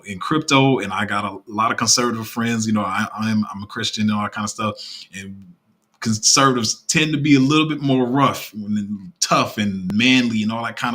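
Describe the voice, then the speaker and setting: A young man talks casually on a livestream from a home room. His voice sits a little low and sounds husky. He rambles in a heartfelt, conversational way. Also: pushed and bright. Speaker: crypto channel host.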